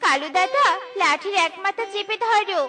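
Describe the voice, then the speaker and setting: Tiny, very squeaky cartoon bird. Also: female voice, animated, normal speed, music in the background. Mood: sympathetic. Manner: exclamatory, earnest. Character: small kind-hearted female bird, helpful and excitable. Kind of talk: children's cartoon voice acting.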